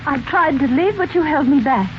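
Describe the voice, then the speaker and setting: A woman's voice: sits high, expressive, normal speed. An actress playing an anxious, frightened lady witness, hesitant and emotional in 1940s radio mystery style. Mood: frightened, defensive. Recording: noisy dull 1940s radio recording.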